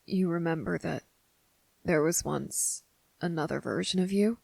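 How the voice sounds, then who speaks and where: A woman playing a wary, blunt but softening young boy in an ASMR roleplay, speaking deliberately with hesitant pauses. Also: lowish voice, vocal fry, no room sound, heavily acted. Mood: softening, gentle. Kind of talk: ASMR roleplay audio drama.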